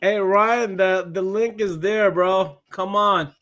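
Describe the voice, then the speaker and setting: A man's voice: sits high, steady tone, slow pace, fairly bright tone. A man hosting a podcast over a video call, teasing his guests in a laid-back way. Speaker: podcast host. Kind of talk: casual interviewing.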